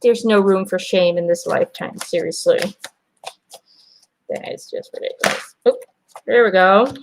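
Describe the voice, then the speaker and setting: Chatty, gravelly-voiced Belgian woman reading cards. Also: mid-range voice, normal speed, low-quality recording. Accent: American-sounding English. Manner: chatty, hesitant, repeating names and phrases. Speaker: angel card reader. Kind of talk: live-stream card reading. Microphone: webcam.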